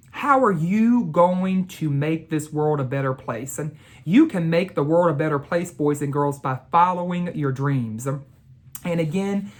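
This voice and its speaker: A woman with a fairly low voice, reading aloud as an educator to children. She is deliberate and emphatic, addressing her young listeners directly. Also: darkish tone. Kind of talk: storybook read-aloud and talk to children.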